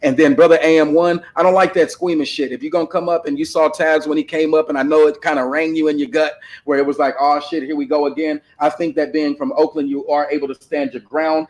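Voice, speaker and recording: A man's voice: fairly high pitch, level tone, fast talker, hardly any pauses, poor audio. A man hosting a casual livestream panel discussion, talking bluntly and with plenty of profanity over a desktop microphone. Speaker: panel host.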